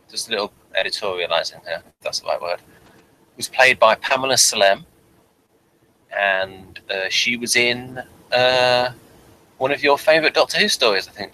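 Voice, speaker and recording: A man hosting an informal review show, speaking in a halting, digressive way and often correcting himself. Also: medium pitch, sharp tone, slight background noise, low-quality recording. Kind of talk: informal review chat, reading out a summary.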